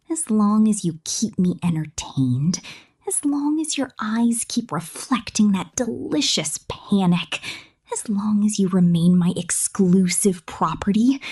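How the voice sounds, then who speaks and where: Woman voicing V, a breathy, sadistic killer drone. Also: fairly low pitch, a little mellow, full character voice. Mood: contemptuous, possessive. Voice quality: breathy, often dropping to a whisper. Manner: menacing, taunting, drawn-out dramatic delivery. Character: sadistic, possessive female killer robot drone toying with prey. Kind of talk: ASMR roleplay monologue.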